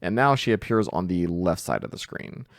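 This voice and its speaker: A man giving an informal screen-share software tutorial, thinking aloud hesitantly as he goes. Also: low voice, very animated, moderate pace, creaky, no echo, studio quality. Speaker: tutorial host, coding instructor.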